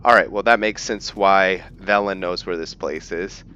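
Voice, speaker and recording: A man narrating a roleplay game playthrough in a casual, halting way, stumbling over words, on a dull mic. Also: sits low, sharp tone, smooth. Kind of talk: casual gameplay commentary mixed with in-character journal reading.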